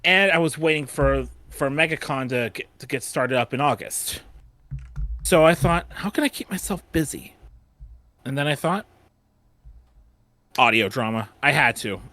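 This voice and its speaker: A man's voice: mid-range voice, expressive, moderate pace, pauses often, pushed and bright. A man hosting a casual fan retrospective panel, often repeating and restarting his phrases.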